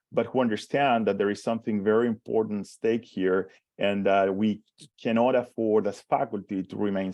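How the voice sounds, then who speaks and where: A man's voice: on the low side, darkish tone, dry recording, highs cut off. A man in his forties from Chile with a Chilean Spanish accent, giving a conference talk as a professor, hesitant with many restarts and fillers. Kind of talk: conference presentation.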